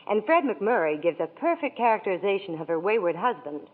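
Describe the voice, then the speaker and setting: A woman's voice: medium pitch, sing-song, fairly quick. A woman playing a chatty Hollywood reporter in a 1940s radio broadcast, on an echoey lo-fi recording. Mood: gushing. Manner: gushing, chatty. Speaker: Hollywood reporter in intermission segment. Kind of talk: scripted 1940s radio promo.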